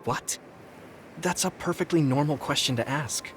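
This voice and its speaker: A man playing a friendly, easily flustered young soldier in an English anime game, expressive and boyish. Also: on the high side, moderate pace, faint music behind, lightly acted. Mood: surprised, flustered.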